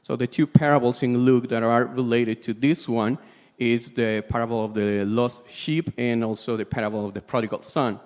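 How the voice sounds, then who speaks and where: A man with a Peruvian Spanish accent delivers a sermon in a mid-range voice, heard with long hall reverb. He is a native Spanish speaker and goes haltingly, feeling for his words as he preaches. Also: mid-range voice, fairly even intonation, a little mellow. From Peru. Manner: halting, searching for words in a second language.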